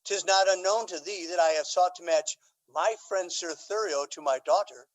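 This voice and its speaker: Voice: mid-range voice, normal speed, close to an everyday voice, rough recording. An actor playing an aging, scheming, courtly Duke. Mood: probing, courtly. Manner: theatrical, courtly, emphatic Shakespearean delivery. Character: aging, scheming Duke, aggrieved father feigning lovesickness. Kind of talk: Shakespearean radio drama acting.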